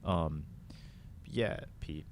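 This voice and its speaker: A man on a casual discussion panel, talking haltingly and repeating words while he thinks. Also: extremely low pitch. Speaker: talk show panelist. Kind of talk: casual panel chat about games and films.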